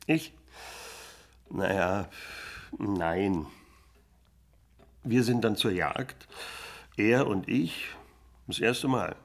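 Husky village hunter guy, slow laconic storytelling, radio play. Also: fairly low pitch, heavily acted. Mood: hesitant, gripping. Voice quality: gravelly, husky. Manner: halting, laconic, clipped phrases. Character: village hunting man telling of a hunt.

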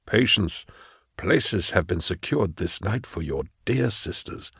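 A soft, whispery male prefect of an audiobook tale, acted by a man. He speaks slowly and smoothly with false fatherly kindness and quiet condescension. The voice is low and closely recorded, without room sound. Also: lively intonation, dry recording, clean studio sound, heavily acted. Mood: falsely kind. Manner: measured, unctuous, condescending. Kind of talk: audiobook character acting.

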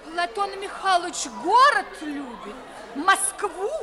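An actress playing a gushing, fussing, domineering young society wife, cooing and affected, in a 1950s radio comedy. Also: female voice, very high-pitched, expressive, slow, a crowd in the background, full character voice. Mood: sugary, controlling. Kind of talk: 1950s Russian stage comedy acting for radio.

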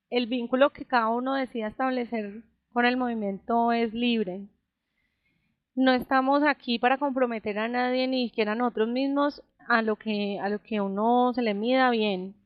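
A woman running an online group meeting, speaking in a relaxed, reassuring way with many fillers. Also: fairly high pitch, clear, resonant tone, no echo. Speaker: meeting organizer. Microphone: computer mic on a voice call. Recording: dull lo-fi voice call audio.

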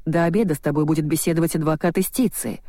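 A reader voicing a cynical, condescending male hospital lawyer in an audiobook, brisk and bossy. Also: mid-range voice, steady tone, dark tone, no room sound, studio quality, close to an everyday voice. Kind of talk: audiobook dialogue reading.

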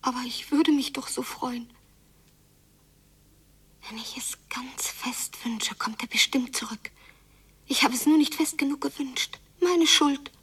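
A woman's voice: high-pitched, a little slow, pauses often, fairly bright tone, slightly rough, recorded from a distance, heavily acted. An actress playing a hurt, yearning girl abandoned by her father in an intense radio play monologue that often drops into whispering. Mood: pleading self-blame.

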